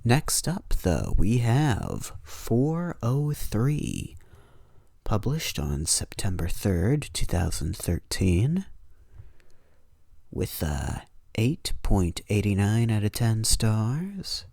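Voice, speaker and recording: A man's voice: lowish voice, sing-song, drawn-out words, vocal fry, mellow, no room sound, clean studio sound. A man hosting and narrating horror stories in a dramatic reading style. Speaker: host and narrator.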